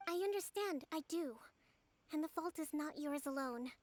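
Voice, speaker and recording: A woman voicing a composed, gentle young female leader in a video game, speaking formally and with measured diplomacy. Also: high-pitched, animated. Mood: gentle, regretful. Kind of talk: scripted video game voice acting.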